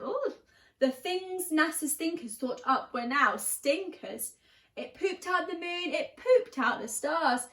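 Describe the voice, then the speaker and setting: A woman's voice: high voice, pushed and bright. A woman reading a children's picture book aloud expressively, with dramatic emphasis on key words. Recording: roomy, echoing room sound.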